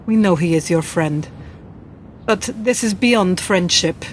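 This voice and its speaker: An actress playing a cool, teasing, world-weary Polish woman spy in a radio drama, speaking in a measured, formal way. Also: on the low side. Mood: cold, commanding. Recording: clearly noisy background.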